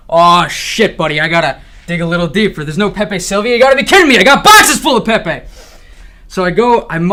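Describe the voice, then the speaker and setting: A man performing a monologue as a paranoid office worker, agitated and ranting theatrically. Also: high-pitched. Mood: frantic, ranting. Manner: ranting, agitated, building to shouts. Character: frantic, paranoid male office worker uncovering a conspiracy.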